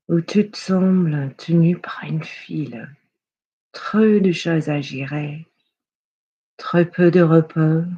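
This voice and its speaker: A person guiding a yoga nidra relaxation in a hushed, soothing, unhurried way with long pauses. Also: mid-range voice, husky, muffled recording. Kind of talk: guided relaxation meditation.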